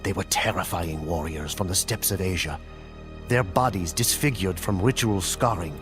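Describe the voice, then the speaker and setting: Male storyteller, lower register, measured and solemn, game cutscene narration over a clear music bed. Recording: music playing under the voice. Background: clear background music.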